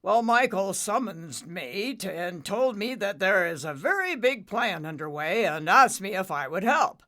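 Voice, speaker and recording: Brief: smug booming old storm-cloud dad, woman reader. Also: very animated, unhurried, bright, forceful tone.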